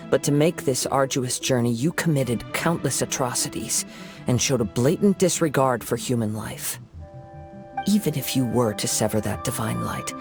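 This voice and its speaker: An actor voicing a calm, perceptive young wandering samurai in a video game, with a measured, soothing delivery. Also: male voice, mid-range voice, vocal fry.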